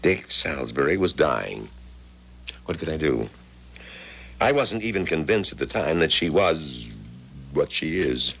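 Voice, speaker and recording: An actor in a 1970s radio drama playing a weary, honest older country doctor, plain-spoken and resigned. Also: male voice, extremely low pitch, expressive, measured pace, vocal fry, long pauses, fairly bright tone, slightly rough, exaggerated voice. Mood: resigned, reflective.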